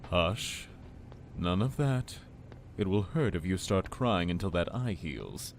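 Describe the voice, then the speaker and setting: A man playing a manipulative, falsely tender cult lord in an audio drama, speaking in deliberate, condescending, formal phrases. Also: low voice, expressive, a little hiss or hum, exaggerated voice. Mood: soothing control.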